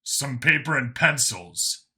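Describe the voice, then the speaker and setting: A man voicing a gruff, sardonic human cop in a sci-fi audio story, with dry, curt delivery. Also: medium pitch, expressive, takes it slowly, pushed and bright, exaggerated voice.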